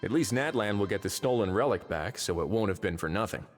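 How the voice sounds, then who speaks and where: A man voicing a shrewd, easygoing, world-weary official in a video game, with dry, measured authority. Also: lowish voice, expressive, faint music behind, natural voice. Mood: pensive. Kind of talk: animated video game voice acting.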